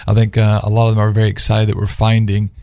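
A man's voice: lowish voice, monotone, fast talker, creaky, warm tone, no room sound, studio quality. A man speaking as a guest on a radio talk panel, earnestly telling stories and posing rhetorical questions in conversational religious discussion.

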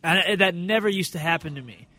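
A man singer talks casually and rambles, often stopping to correct himself. His voice is fairly high and bright, with a forceful edge. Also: quick pace. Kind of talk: casual chat.

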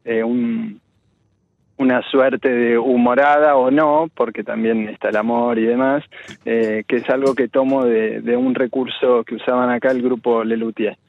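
A man's voice: mid-range voice, steady tone, a little slow, dry recording. A man from Argentina with a Buenos Aires accent, an author answering interview questions thoughtfully, with many restarts and fillers. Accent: Buenos Aires Spanish. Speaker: children's story author, guest. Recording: dry tinny phone-like line.

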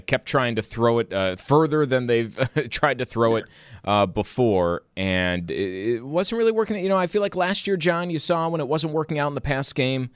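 A man's voice: fairly low pitch, smooth, no echo, clean studio sound. A man hosting a casual sports interview, asking long, rambling questions with emphatic swings in his delivery. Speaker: sports talk host interviewer.

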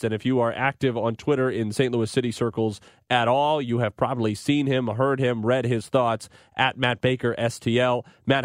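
A man's voice: mid-range voice, no echo. Male sports radio host, dry studio sound. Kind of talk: live sports talk show hosting.

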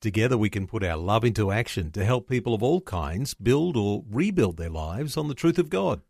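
Male exec voicing a fundraising spot, lively, dry studio sound. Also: fairly low pitch, moderate pace, creaky, no echo. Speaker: head of a media ministry. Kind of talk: scripted appeal announcement.

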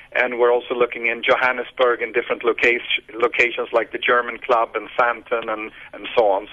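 A Swedish-accented man talks on a radio show, his voice sitting at an ordinary middle pitch. He pauses a lot and restarts his phrases. The audio comes through dull and muffled, like a phone line. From Sweden. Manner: hesitant, many fillers and restarts. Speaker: guest, sports businessman. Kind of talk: radio interview answers.

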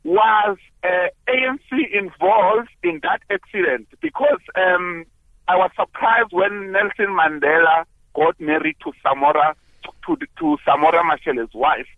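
Caller on a tinny phone line. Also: male voice, high-pitched, a little slow, no echo. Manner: hesitant, searching for words. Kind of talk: call-in radio talk.

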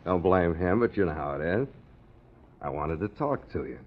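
A big easygoing sailor with a deep voice and lively pitch movement. He is laconic with quiet menace, in 1940s radio style on a dull old recording. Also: moderate pace, faint music behind. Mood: cool, insistent. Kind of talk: 1940s radio drama acting.

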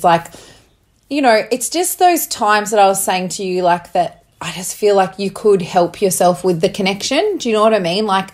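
A young woman in casual conversation with her partner, rambling with lots of fillers and the odd swear. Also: mid-range voice. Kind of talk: casual couple chat.

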